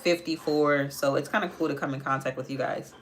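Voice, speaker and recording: Low-voiced young woman chatting through makeup. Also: fairly even intonation, fairly quick, poor audio. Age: 20s. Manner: chatty, answers viewer comments while working, repeats phrases. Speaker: makeup livestream host. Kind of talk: casual live makeup tutorial, chatting with viewers.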